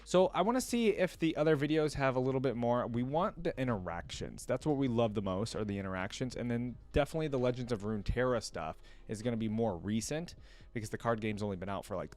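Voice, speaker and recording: A man's voice: on the low side, a little mellow. A man hosting a reaction video, giving casual, rambling, conversational commentary.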